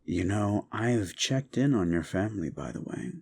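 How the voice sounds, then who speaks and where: A man playing a creaky-voiced, unhinged yandere prince. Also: fairly low pitch, lively intonation, slight background noise. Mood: menacing. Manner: soft, slow, often whispering, menacing. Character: unhinged paranoid possessive young prince. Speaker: voice actor. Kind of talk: audio roleplay drama. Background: background music.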